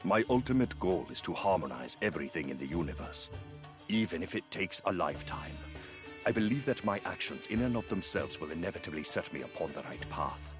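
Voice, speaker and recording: A man playing a calm, wise, middle-aged yoga master in a video game, speaking in measured, solemn, philosophical phrases. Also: sits low, over music. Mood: serene, solemn. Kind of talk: video game story cutscene acting.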